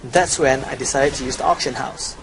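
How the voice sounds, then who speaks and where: A man's voice: mid-range voice, brisk, slight background noise, poor audio. Boastful young man with a Chinese accent. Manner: boastful, salesy, walks through gear stats. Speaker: gamer promoting a gold guide. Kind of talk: scripted promotional voice-over with gameplay.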